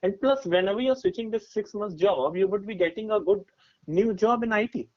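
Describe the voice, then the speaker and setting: A man's voice: high voice, a little fast. A man in his twenties with an Indian accent, giving career advice as a podcast guest in an emphatic, explanatory conversational way, often checking that he is followed. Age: 20s. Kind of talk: conversational advice with personal examples. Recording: dull, muffled lo-fi audio.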